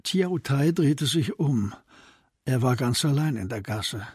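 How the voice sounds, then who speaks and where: A man narrating a scripted radio drama with a very husky, breathy voice. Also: medium pitch, animated, slow pace, a little mellow, dry recording, studio quality.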